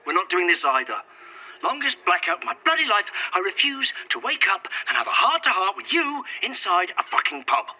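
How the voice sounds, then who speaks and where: Bitter, sardonic British man, wildly expressive. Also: sits high, fairly quick, husky, heavily acted. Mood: exasperated, weary. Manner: sardonic, theatrical, sweary. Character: bitter, sardonic British man documenting his nightmare journey. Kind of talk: audio drama acting.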